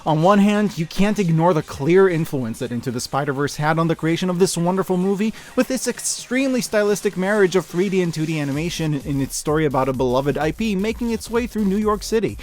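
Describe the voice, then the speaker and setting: A man's voice: fairly high pitch, lively intonation, a little fast, hardly any pauses, a little mellow. A man in his thirties giving scripted, enthusiastic video-essay commentary as a host, in an emphatic, gushing, informal way. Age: 30s. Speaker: host of a year-in-review media video. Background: music.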